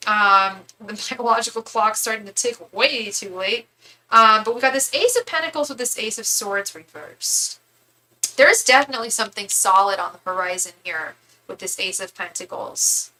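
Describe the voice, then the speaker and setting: A woman's voice: medium pitch, normal speed, pushed and bright, low-quality recording. A woman in her thirties giving a live tarot reading, chatty and rambling, with many asides and restarts. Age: 30s. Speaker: tarot reader.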